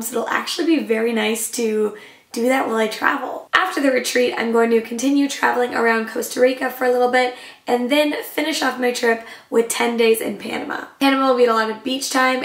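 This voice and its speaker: A young woman, slightly hoarse, chatting enthusiastically as a travel vlogger showing what she packs. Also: on the high side, reverberant. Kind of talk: casual upbeat vlog talk to camera.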